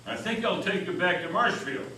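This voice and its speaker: A man's voice: sits high, animated, moderate pace, pushed and bright, slight background noise, pitched above the performer's own voice. Man playing a bold, proud old-time orator. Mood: teasing menace. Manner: bold, declamatory, folksy. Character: proud, defiant male 19th-century orator and lawyer. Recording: echoey hall, distant mic, music.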